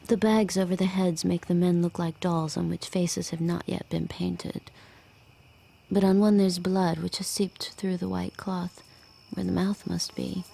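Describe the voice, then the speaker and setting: A woman playing a subdued, watchful handmaid in an audio drama, narrating her thoughts in a measured, hushed, introspective way. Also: on the low side, little pitch movement, vocal fry, slightly rough, exaggerated voice. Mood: guarded, pensive. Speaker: lead actor and first-person narrator. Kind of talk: audio drama, intimate literary narration and dialogue. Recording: music under the voice.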